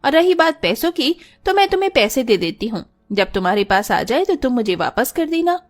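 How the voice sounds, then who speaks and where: Casting: actress as encouraging cartoon mum, middle-aged, affectionate, fairly high voice. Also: female voice, very animated, fairly quick. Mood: affectionate encouragement. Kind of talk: cartoon story acting.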